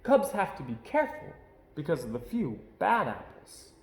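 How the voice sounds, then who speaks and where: A man voicing a gentle mother bear in a children's picture book, explaining things patiently and reassuringly. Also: a little high, huge pitch swings, normal speed, echoey room, pitched above the performer's own voice. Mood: reassuring, cautionary.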